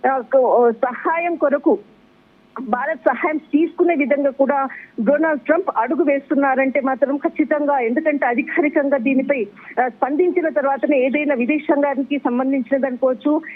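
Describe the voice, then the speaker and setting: A man giving an unscripted live news report as a bureau chief over a tinny phone line, halting and wordy, often repeating himself. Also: very high voice. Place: on a phone call into a newscast. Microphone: telephone.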